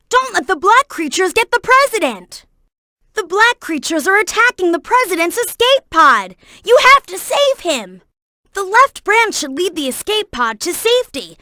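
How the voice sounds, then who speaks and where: Very high, bright cartoon boy fox. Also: no echo, clean studio sound, full character voice. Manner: earnest, clearly enunciated, childlike. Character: young boy fox sidekick, earnest, helpful, excitable. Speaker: video game character giving hints. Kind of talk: game voice acting, tutorial hints and alerts.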